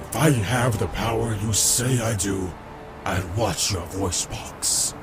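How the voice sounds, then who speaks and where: A man's voice: on the low side, slow, loud music behind the voice. An actor playing a smug, domineering robot lawman in a comic dub, with a condescending, sardonic manner. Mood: quietly menacing. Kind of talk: dramatic comic dub acting.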